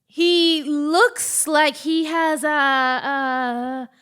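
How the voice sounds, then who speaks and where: A woman's voice: high-pitched, little pitch movement, drawn-out words, fairly bright tone, full character voice. A woman playing a scheming, insistent journalist who stammers theatrically in a comedic stage play. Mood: flustered, insistent. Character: flustered, scheming female journalist, fast-fibbing and insistent. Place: theatre stage before live audience.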